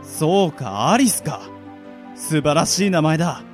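A man's voice: on the high side, lively intonation, unhurried, soft background music. A man in an amateur radio drama playing a benevolent king with grand, archaic royal speech. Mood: gracious. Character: stately, benevolent fantasy king.

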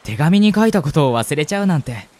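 A man's voice: a little high, sing-song, fast, darkish tone, a little hiss or hum. A man acting a polite, shy and smitten young college student in a radio drama, speaking and narrating earnestly. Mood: sheepish.

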